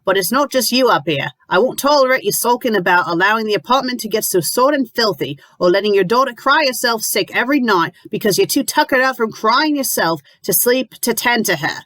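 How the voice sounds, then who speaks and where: A woman voicing a brisk, bossy middle-aged landlady who talks firmly and sternly. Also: fairly low pitch, lively intonation, a bit bright, no room sound.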